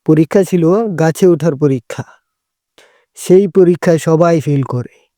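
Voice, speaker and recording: A man's voice: on the high side, measured pace, dark tone, dry recording, studio quality. A man narrating a scripted cartoon fable as a storyteller.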